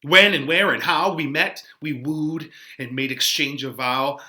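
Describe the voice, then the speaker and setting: A man's voice: fairly high pitch, a little slow, sharp tone. A man playing a lovestruck, eager young man, declaiming Shakespearean verse effusively. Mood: ardent, pleading. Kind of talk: dramatic reading of Shakespearean verse.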